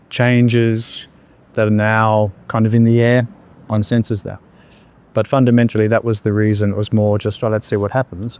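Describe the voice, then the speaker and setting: A man, answering interview questions in a reflective, unhurried way with pauses and fillers. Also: lowish voice, a little mellow. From Australia. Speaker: entrepreneur guest.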